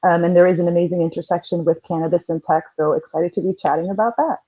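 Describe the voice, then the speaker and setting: A woman's voice: lowish voice, steady tone, fast talker. A woman speaking informally as a panelist in marketing, explaining her industry, with slightly dull sound. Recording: slightly dull call audio.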